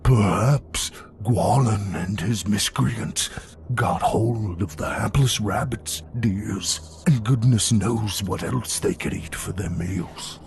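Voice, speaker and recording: A man's voice: on the low side, creaky, darkish tone, slight background noise. A man playing a stern, commanding, gloomy rescuer hero in an audio fantasy story. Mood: gloomy, hushed. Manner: dictatorial, deliberate.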